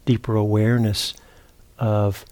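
A man with a fairly low, very soft-edged and mellow voice leads a guided meditation. He speaks as a teacher, unhurried and halting, leaving long pauses and often repeating a word before going on. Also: little pitch movement. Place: meditation retreat center.

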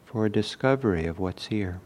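A man leading a guided meditation as a teacher, speaking in short, measured phrases with long pauses. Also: on the low side, animated, darkish tone.

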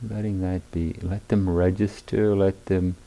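A man guiding a meditation as a teacher, in measured, sparse phrases with long pauses between them. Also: deep voice, little pitch movement, dark tone, rough recording. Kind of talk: guided meditation instruction. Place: meditation retreat hall.

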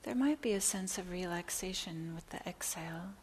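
A woman teaching guided meditation in a soft, breathy near-whisper, gently and with long pauses between phrases. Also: lowish voice. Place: meditation center. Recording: clear background hiss.

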